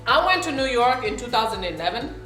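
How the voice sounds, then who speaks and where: A woman's voice: fairly high pitch, very animated, brisk, fairly bright tone. A young woman from South Sudan chatting casually to camera as a vlogger while she eats, often checking in with her viewers. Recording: roomy room with faint background music.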